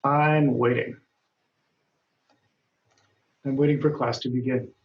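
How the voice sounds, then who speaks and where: Guy teaching english grammar, steady and deliberate, kinda dull far-off sound. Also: medium pitch. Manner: patient, deliberate, lifts his voice when reading quoted lines. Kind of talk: slow, clear grammar lesson, reading example dialogues. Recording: dull, roomy sound from a distance.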